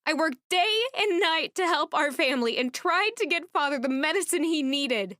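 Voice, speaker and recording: A woman's voice: high voice, animated, normal speed, sharp tone, no room sound, studio quality. A woman playing an earnest, anguished young maid turned lady-in-waiting in a fantasy audio drama, speaking in an emotive, introspective monologue. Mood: indignant, anguished.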